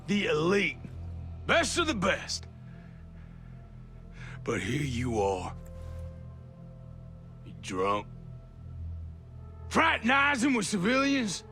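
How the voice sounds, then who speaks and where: An actor playing a menacing, contemptuous military commander who mocks and shouts insults at his soldiers in a game cutscene. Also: fairly high pitch, creaky, exaggerated voice. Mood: mocking. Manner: taunting, mocking, shouting insults. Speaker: villain officer in a game cutscene.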